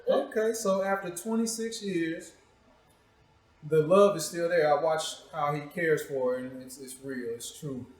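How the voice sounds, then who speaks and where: A man's voice: fairly high pitch, steady tone, normal speed. A man hosting a casual interview show, joking and teasing in informal, slangy talk. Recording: echoey room.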